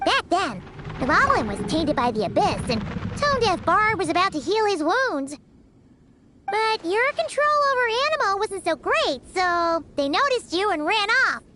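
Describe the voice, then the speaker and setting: A woman voicing a tiny, chatty, childlike fairy companion in a video game, squeaky and bubbly. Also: very animated, a little slow, background noise, full character voice. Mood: chatty, eager. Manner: bubbly, exclamatory, refers to herself in third person. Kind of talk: animated video game character acting.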